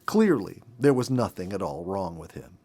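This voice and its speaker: A man in his fifties reading a story aloud in an expressive, measured narrator's manner with dramatic emphasis. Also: deep voice. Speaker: storybook reader. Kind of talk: expressive read-aloud narration of a nature memoir.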